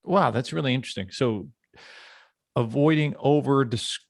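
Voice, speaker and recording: Male podcast host, creaky-voiced and conversational, mid-pitched, thinking as he goes. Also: moderate pace, no echo, clean studio sound. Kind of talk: casual chat.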